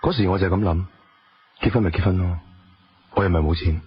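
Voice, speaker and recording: An actor with a Hong Kong accent playing a weary, wounded estranged husband who drives a taxi, in a radio drama. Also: male voice, deep voice, a little fast, breathy edge. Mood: reflective, rueful. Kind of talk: radio drama acting, with dialogue and reflective narration. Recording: dull lo-fi radio drama audio.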